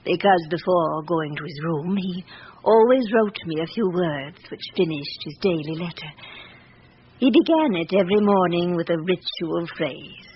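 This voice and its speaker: An actress playing an elderly, aristocratic French widow in a vintage radio drama, speaking formally and candidly with composure. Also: female voice, lowish voice, animated, normal speed, natural voice. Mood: composed, wistful. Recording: dull, hissy old radio broadcast.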